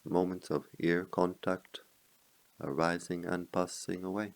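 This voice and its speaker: A man with a very low voice and a heavy, creaky fry guides a meditation. He speaks as a teacher, in short, measured phrases. Long pauses separate his slow instructions. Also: little pitch movement.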